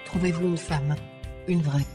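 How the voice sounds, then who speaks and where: A synthetic female voice of an AI assistant, giving formal, measured, deadpan scripted information. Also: low voice, music in the background. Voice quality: synthetic text-to-speech voice. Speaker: AI ship assistant.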